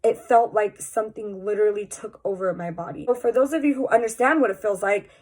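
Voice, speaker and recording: A young woman talks straight to her camera, her voice stuffy and a little nasal from a cold. She speaks candidly and earnestly, in a loose conversational way, as if confiding in her followers. Her pitch sits in a middle range for a woman. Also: a little fast, darkish tone. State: congested, sounds nasal from a cold. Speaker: vlogger. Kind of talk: personal confessional vlog.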